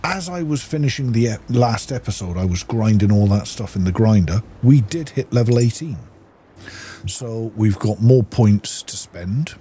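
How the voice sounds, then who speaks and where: A man's voice: lowish voice, expressive, normal speed. A man giving casual gameplay commentary, thinking aloud in an informal way. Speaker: gaming video host. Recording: loud engine and game ambience noise.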